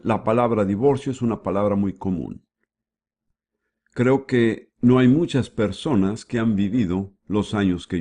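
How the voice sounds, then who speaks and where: A man giving the voice-over for a sermon, with a measured preaching delivery and frequent rhetorical questions. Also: fairly low pitch, dark tone. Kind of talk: dubbed sermon.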